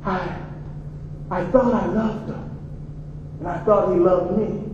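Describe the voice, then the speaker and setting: A man performing a grieving mother in a stage play, telling a painful story in a slow, halting way. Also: sits high, steady tone, recorded from a distance, noisy background. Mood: sorrowful. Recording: echoey, dull live hall recording.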